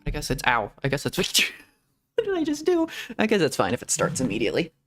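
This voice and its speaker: A man's voice: fairly high pitch, wildly swooping pitch, fairly quick, a bit bright, a little hiss or hum. A man streaming a video game, chatting and rambling casually and laughing often. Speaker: VTuber streamer. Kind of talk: casual gameplay stream commentary. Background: faint game music.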